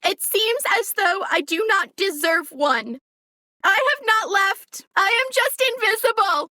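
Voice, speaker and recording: A melodramatic computer girl with a very high, bright voice. She talks slowly in stiff, formal sentences, stating her feelings aloud like a wounded child. It is an audio drama character, recorded dry and close. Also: animated, dry recording, full character voice. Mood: sulky, wounded. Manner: stilted formal wording, announces its own sobs and emotions.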